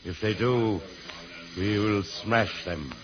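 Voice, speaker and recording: A ruthless, domineering space warlord. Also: male voice, fairly low pitch, slow, music in the background. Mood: gloating menace. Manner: barking, menacing commands. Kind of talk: old-time radio serial acting. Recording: dull old radio recording, crowd noise.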